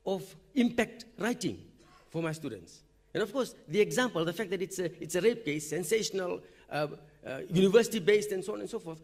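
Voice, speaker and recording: A man's voice: sits high, vocal fry. A male university lecturer speaking at a teaching forum, explaining his classroom methods in a conversational way and checking in with "okay?" often. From Fiji. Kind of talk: conference talk. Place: lecture hall or forum room.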